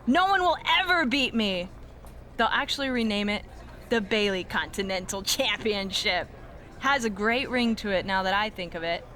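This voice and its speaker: A woman's voice: mid-range voice, lively intonation, normal speed, a little hiss or hum. An actress playing a boastful, bratty woman wrestling champion in a video game cutscene, smug and mocking. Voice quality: bright, taunting.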